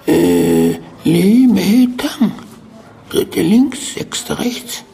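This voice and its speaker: An actor playing a terse, enigmatic old Chinese man in Havana, speaking in halting, clipped phrases in a radio drama. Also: high voice, huge pitch swings, gravelly, mellow, full character voice. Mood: terse, puzzled.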